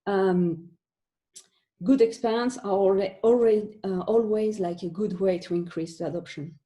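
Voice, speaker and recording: A woman's voice: medium pitch, measured pace, dark tone. A woman from France with a French accent, presenting a talk from home for an online webinar, with many fillers and restarts. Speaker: conference speaker.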